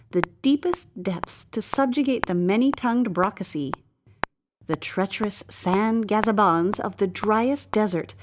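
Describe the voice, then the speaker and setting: A woman storyteller reading scripted storybook narration in a wry, mock-grand manner. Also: medium pitch. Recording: clear background noise.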